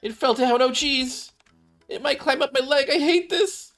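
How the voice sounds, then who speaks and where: An excitable young survivalist woman from a video game, put on by a streamer. The voice is pushed high and gushes with exclamations. Soft music plays behind it. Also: normal speed. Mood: panicked. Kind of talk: acted reading of video game dialogue.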